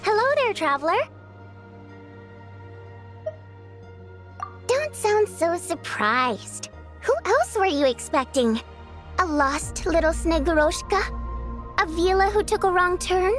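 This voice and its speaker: Fantasy game nymph, female: extremely high, lilting and animated, coy and sassy over faint music. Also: measured pace, exaggerated voice. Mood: teasing. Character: teasing, playful female water nymph singer. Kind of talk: video game voice acting.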